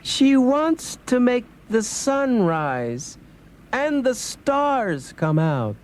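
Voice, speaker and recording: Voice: mid-range voice, very animated, drawn-out words. An actor playing a meek, henpecked fisherman in a dramatised folk tale, hesitant, pleading and despairing. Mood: hesitant, afraid.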